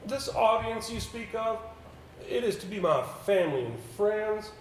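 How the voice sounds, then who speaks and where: Nervous, courteous gentleman male stage actor, hesitant and unwilling, animated pitch; roomy live sound with faint noise. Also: unhurried. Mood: uneasy, reluctant. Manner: hesitant, polite, formal. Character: anxious, reluctant, formal gentleman, no natural performer. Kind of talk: theatrical stage acting. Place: indoor theater stage.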